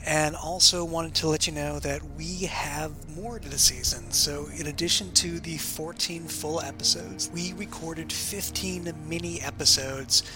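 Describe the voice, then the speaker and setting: A man's voice: on the high side, unhurried, hardly any pauses, over music. A man who created a podcast, giving a scripted announcement to listeners.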